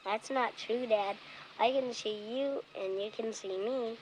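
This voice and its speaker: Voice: mid-range voice, measured pace, a little hiss or hum, lightly acted, poor audio. A child actor playing a curious, earnest young boy in scripted television family dialogue, plainspoken and inquisitive. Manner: inquisitive, plainspoken, matter-of-fact.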